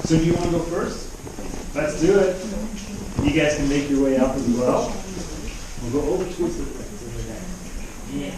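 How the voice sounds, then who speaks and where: A man's voice: a little high, moderate pace, pauses often. A man with a slight Dutch accent guiding a cave tour in an upbeat, joking way. He is recorded on a visitor's action camera a few steps away inside a rock cave. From Netherlands. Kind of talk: guided cave tour chat. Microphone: action camera worn by a visitor, a few steps off. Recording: echoey cave from far off, loud noise.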